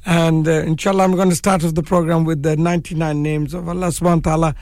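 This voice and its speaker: A man hosting a live community radio show, hoarse with a cold and croaky, chatting in a relaxed way with many fillers. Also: fairly high pitch, fast talker, no echo, studio quality. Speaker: radio presenter. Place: radio studio.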